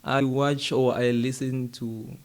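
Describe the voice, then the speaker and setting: South African man, medium-pitched interviewer. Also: little pitch movement, slow. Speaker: interviewer, host. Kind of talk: casual conversational interview, code-switching.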